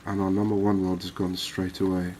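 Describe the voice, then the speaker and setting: A man giving quiet, cozy ASMR commentary on a game, unhurried and thinking aloud. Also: low voice, monotone, slight background noise. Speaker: gaming video host.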